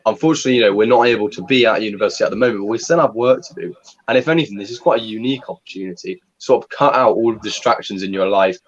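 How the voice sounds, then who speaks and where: A young man co-hosting a casual livestream, talking in a calm, measured way and reading a listener's letter slowly. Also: fairly low pitch, dull audio. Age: young adult. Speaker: co-host.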